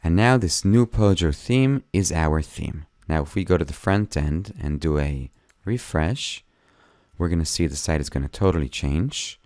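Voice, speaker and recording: A hoarse man walking through a website tutorial step by step in a plain, friendly way, speaking at a desktop microphone. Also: sits low, lively intonation, normal speed, a little mellow, no room sound, studio quality. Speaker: web design tutor. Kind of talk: screen-recorded tutorial narration.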